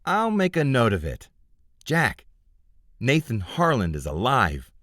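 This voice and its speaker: Sly middleman, smug and lively, raised voice; man voices him. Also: mid-range voice, slow, no room sound, studio quality, voice pushed up, heavily acted. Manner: smug, wry. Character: smooth, sly male information broker.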